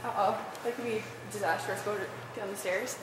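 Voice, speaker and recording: A woman's voice: medium pitch, fairly even intonation, moderate pace. A woman in her twenties chatting casually and playfully with a friend near a handheld camera. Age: 20s. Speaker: vlogger's friend. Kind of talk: casual chat. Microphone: handheld camera nearby. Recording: noisy handheld camera audio. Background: loud ambient noise.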